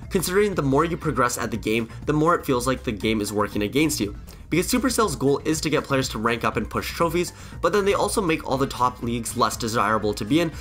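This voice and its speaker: A man's voice: medium pitch, a bit bright. A man, a gaming YouTuber with a cold, talks earnestly through his opinions with frequent restarts. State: sick with a cold, voice affected. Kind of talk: scripted-ish commentary to camera.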